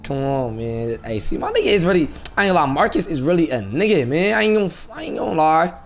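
A man's voice: a little high, big pitch swings, quick pace, vocal fry, slight background noise. A young man in casual roleplay chat, speaking street slang and often repeating his phrases. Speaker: streamer playing a GTA roleplay character.

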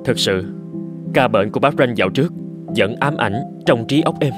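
A man's voice: medium pitch, animated, moderate pace, music in the background, natural voice. A man voicing an earnest, idealistic young medical student in a cartoon. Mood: earnest, determined. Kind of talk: cartoon dubbing.

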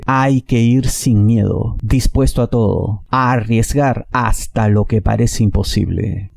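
A middle-aged man presenting a horoscope to camera with deliberate, emphatic phrasing into a headset microphone. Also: on the low side, gravelly, mellow, no echo. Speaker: horoscope presenter, tarot reader. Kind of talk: scripted-sounding horoscope reading to camera.

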